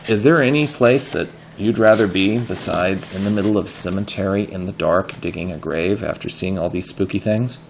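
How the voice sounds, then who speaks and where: A low-voiced man reads a story aloud with expression and patiently explains words as he goes. His voice has a gravelly fry, and there is some background noise on the recording. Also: moderate pace, hardly any pauses, poor audio.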